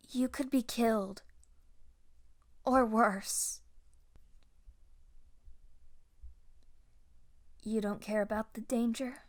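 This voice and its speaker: A young woman playing a gentle, self-doubting Padawan on the run in an audio roleplay, speaking softly and hesitantly with halting pauses. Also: fairly high pitch. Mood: incredulous, concerned. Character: timid, grieving young female Jedi Padawan fugitive, self-doubting and gentle. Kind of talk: audio roleplay acting, intimate ASMR-style monologue.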